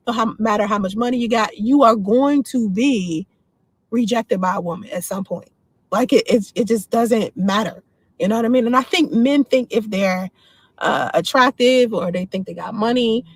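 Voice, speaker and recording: Outspoken woman in her forties, higher voice. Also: no echo. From Washington, D.C. area. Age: 40s. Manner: outspoken, reads and answers viewer comments. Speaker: livestream host. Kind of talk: casual livestream chat.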